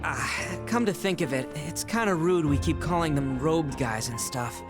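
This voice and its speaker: A woman's voice: deep voice, moderate pace, natural voice. A woman voicing a thoughtful, considerate young woman in a video game, musing politely over background music. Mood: pensive. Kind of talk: video game cutscene acting. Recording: music behind the voice.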